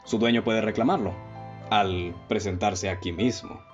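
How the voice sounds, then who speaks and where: Radio drama detective, male actor: lowish Mexican voice, composed, analytical, formal delivery, dull lo-fi sound. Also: lightly acted. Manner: formal, measured, explanatory. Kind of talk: radio play acting. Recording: dull lo-fi audio with music bed.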